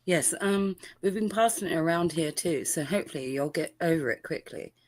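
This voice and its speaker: A woman playing a patient female judge in an amateur courtroom roleplay, pausing often with ums. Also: low-pitched, darkish tone, natural voice. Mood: calm. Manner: hesitant, with many ums. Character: patient female judge presiding over a hearing.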